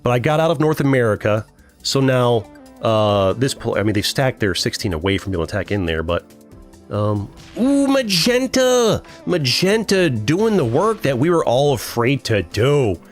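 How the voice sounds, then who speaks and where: Man, deep voice, young adult, casual live game commentary, animated intonation, faint background music. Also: moderate pace. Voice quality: deeper voice than usual. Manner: chatty, thinks aloud, reads chat and laughs often. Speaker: streamer.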